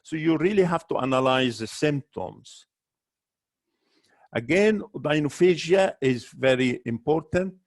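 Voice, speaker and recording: A man's voice: a little high, lively intonation, a little slow. A man, an ENT doctor, giving a medical webinar lecture, listing symptoms one by one with careful restarts.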